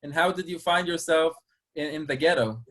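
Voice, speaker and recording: A man in his thirties hosts an online interview over a video call. His voice sits a little higher than most men's as he introduces and questions his guest. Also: moderate pace, fairly bright tone. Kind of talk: moderating a webinar interview. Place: small plain room indoors. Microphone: video call, computer microphone.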